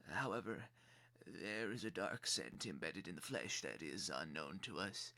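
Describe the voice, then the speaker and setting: A man's voice: bright, forceful tone, heavily acted. Man voicing a thin-voiced, servile blind rat seer. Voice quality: high, thin. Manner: halting, formal, ceremonious. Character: blind albino rat sniffer, formal, servile chief seer. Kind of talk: dramatic screenplay reading.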